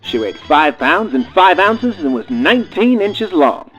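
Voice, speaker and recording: A man's voice: high-pitched, very animated, a little slow, fairly bright tone, faint music behind, exaggerated voice, rough recording. A man playing a theatrical old-time radio announcer, presenting and narrating a scripted comedy play. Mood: storytelling.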